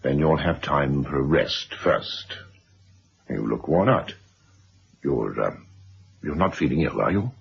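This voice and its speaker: An actor playing a brusque, middle-aged self-made businessman in a radio drama, gravelly and emphatic, often starting hesitantly. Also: a bass voice, animated, unhurried, pauses often, full character voice. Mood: brisk, genial. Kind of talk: 1970s radio drama acting. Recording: dull, noisy old radio recording.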